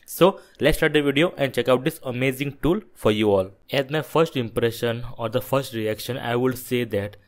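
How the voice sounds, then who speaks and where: A man's voice: medium pitch, moderate pace, hardly any pauses. Man presenting a tech tutorial. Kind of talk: screen-recorded software tutorial narration.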